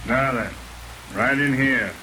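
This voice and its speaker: An actor playing a gruff, bossy small-town police sergeant, curtly giving orders in an old radio drama. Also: male voice, medium pitch, slow, heavy background noise, natural voice. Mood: businesslike. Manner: curt, barking orders. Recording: old radio recording under rain sounds.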